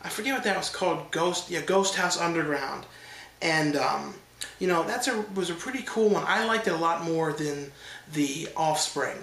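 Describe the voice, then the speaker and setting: A man's voice: on the high side, normal speed, a bit bright, echoey room. Stuffy-nosed man rambling about films. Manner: loose and rambling, many fillers and restarts. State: stuffed up from allergies. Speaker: horror film reviewer. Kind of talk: casual rambling review.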